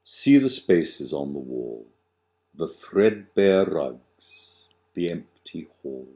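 A man in his sixties, an author reciting his own satirical rhyming verse with dramatic, deliberate emphasis and sudden swells and drops. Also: very deep voice, expressive, mellow. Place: small living room, on a sofa, indoors.

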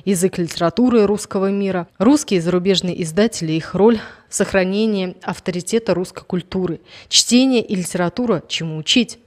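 A woman reading a scripted news voice-over in a crisp, announcer-like way. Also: medium pitch, a little mellow. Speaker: news narrator.

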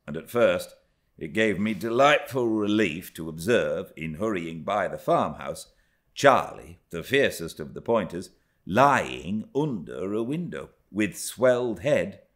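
A middle-aged British man reading a classic novel aloud as an expressive narrator. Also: low-pitched. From Britain. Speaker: audiobook narrator. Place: armchair by a fireplace in a living room.

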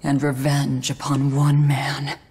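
An actress playing a brooding, vengeful young woman, narrating a dramatic game with measured intensity. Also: very deep voice, completely flat delivery, darkish tone, breathy edge, long reverb tail, full character voice. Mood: cold, vengeful. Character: grim, vengeful young woman haunted by demons.

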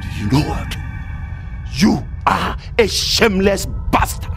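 An actor playing a proud, quarrelsome middle-aged uncle in a film drama, with a Nigerian accent and an indignant, threatening delivery. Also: male voice, high-pitched, animated, creaky, a bit bright, faint music behind, heavily acted. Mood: enraged. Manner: indignant, insulting, drawn-out delivery.

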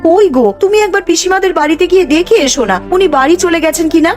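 A woman voicing a dutiful, anxious young village housewife in a cartoon, earnest and expressive. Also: extremely high pitch, fast talker, faint music behind. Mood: busy, worried. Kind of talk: Bengali animated ghost-story voice acting.

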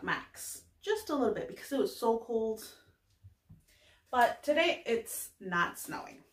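Very slow-talking young woman teaching children. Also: medium pitch. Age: young adult. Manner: deliberate, pausing between short phrases, addressing children. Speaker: preschool teacher. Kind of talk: slow lesson for young children, filmed read-aloud greeting. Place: small indoor classroom-style room with bulletin board. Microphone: camera a few steps away. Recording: roomy echo, distant microphone.

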